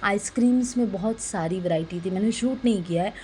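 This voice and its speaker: A young adult woman with a sore, slightly hoarse throat, chattily narrating a casual family vlog voice-over and mixing in English words. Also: mid-range voice, brisk, darkish tone, slight background noise. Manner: conversational, mixes in English words. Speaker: vlogger narrating a family outing.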